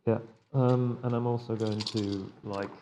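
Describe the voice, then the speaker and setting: A man's voice: fairly low pitch, little pitch movement, a little slow, mellow, a little hiss or hum. A man speaking casually as a player at a live tabletop game show, describing his actions and counting rolls. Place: live convention show before an audience.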